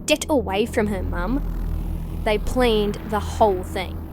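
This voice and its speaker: Woman playing a slow, menacing teenage girl. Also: mid-range voice, over music, lightly acted. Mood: cold accusation. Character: menacing teenage girl, accused killer, cold and accusing.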